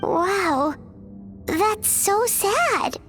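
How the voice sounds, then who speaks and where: Teeny game fairy, super squeaky high and swoopy, emotional little kid voice, music behind. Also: female voice, expressive, very slow, a bit bright, heavily acted. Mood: pitying. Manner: exclamatory, refers to self in third person. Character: tiny high-pitched childlike floating fairy companion, earnest and emotional. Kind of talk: video game voice acting.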